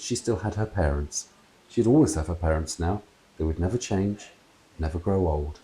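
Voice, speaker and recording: A man reads a children's story aloud in an expressive, deliberate storytelling manner. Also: low-pitched, poor audio. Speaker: children's author reading aloud. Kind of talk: dramatic storybook narration. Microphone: clip-on lapel mic.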